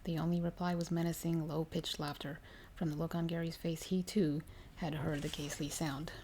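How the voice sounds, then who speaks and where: A woman reading a horror story aloud in a soft, measured ASMR style, giving the dialogue some dramatic emphasis. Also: low-pitched, level tone, background noise.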